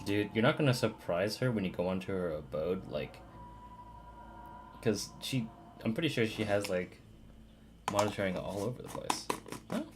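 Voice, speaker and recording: A man with a low, deep voice comments casually over his game stream. He sounds laid-back and informal, with faint ambient noise behind him. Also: expressive, moderate pace, pauses often.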